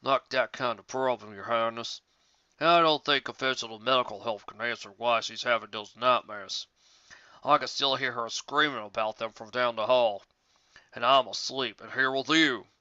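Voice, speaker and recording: An earnest country stallion, acted by a man for an audio fan story. He is polite, folksy and worried, with a bright, smooth voice. The recording is close and dry. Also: mid-range voice, moderate pace, no room sound, lo-fi sound, natural voice. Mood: worried, respectful.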